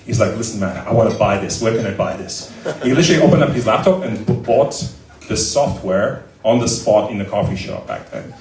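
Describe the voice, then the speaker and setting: A man with a fairly low voice tells founder stories at a live event, rambling and full of fillers. The sound is roomy and echoey, his voice picked up from a distance. He talks in a loose, anecdotal way. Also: brisk, darkish tone, slight background noise. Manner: talkative, many fillers, anecdotal. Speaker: startup founder and CEO as guest. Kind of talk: casual Q&A answers at a live event. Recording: echoey room, voice from far off.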